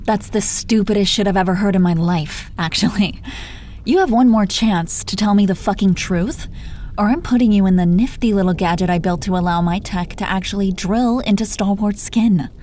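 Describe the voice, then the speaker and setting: A woman's voice: medium pitch, normal speed, a little mellow, a little hiss or hum, natural voice. A woman playing a gloating, menacing female supervillain in a roleplay audio, condescending, sardonic and exasperated, with heavy swearing. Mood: threatening irritation. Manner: taunting, condescending pet names, heavy swearing. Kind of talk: dramatic roleplay audio monologue.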